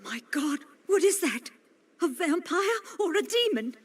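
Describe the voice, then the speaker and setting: Casting: a scared, urgent video game vampire hunter with a high voice. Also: female voice, very animated, measured pace, full character voice. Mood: alarmed. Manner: agitated, urgent. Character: frightened, superstitious female vampire hunter. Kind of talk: dramatic video game acting.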